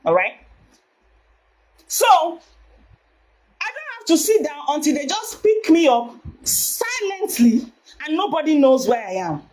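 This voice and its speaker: Loud, high-voiced Nigerian woman. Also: big pitch swings, takes it slowly, pauses often, slight background noise. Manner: emphatic, repeats herself. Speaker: vlogger. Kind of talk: Facebook live video.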